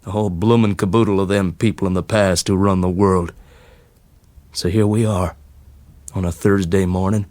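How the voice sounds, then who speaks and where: A man voicing a rough, folksy fellow, opinionated and bitter but kindly, in colloquial rustic speech. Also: low-pitched, fairly even intonation, moderate pace, pauses often, darkish tone, no room sound, close to an everyday voice. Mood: bitter, philosophical.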